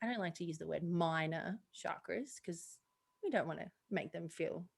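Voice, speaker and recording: A woman co-hosting a podcast, talking things through in relaxed conversation. She laughs often and gropes for words with soft fillers as she explains. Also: fairly low pitch, normal speed, vocal fry, breathy edge. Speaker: podcast co-host. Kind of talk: casual chat, explaining spiritual ideas.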